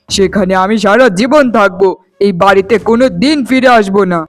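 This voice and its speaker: A man's voice: high voice, natural voice. A man voicing an earnest young husband in a cartoon melodrama, heartfelt, dramatic and insistent. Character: earnest, emotional young husband devoted to his bride.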